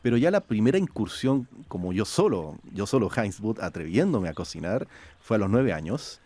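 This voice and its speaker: A man, a chef and food scientist, talking. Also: normal speed. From Chile. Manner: lively storyteller, rises in pitch when quoting. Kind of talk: conversational interview. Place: outdoor garden.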